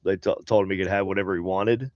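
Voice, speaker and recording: A man's voice: sits low, steady tone, fast talker, muffled recording. A man with a head cold and a nasal voice, co-hosting a paranormal talk show in casual chat, rambling with many fillers. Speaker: podcast co-host. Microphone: home desk setup on a video call.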